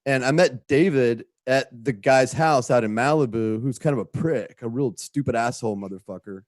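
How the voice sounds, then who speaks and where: A man's voice: mid-range voice, moderate pace, darkish tone, no room sound. A man speaking as a guest writer in an interview, telling a story in a rambling, conversational way.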